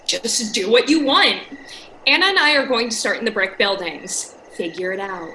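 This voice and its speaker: A woman's voice: fairly high pitch, lively intonation, moderate pace, bright, forceful tone, low-quality recording. A woman playing a bossy, impatient young woman in an audio drama, curt and sarcastic. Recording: faint noise with night insects. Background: crickets and frogs.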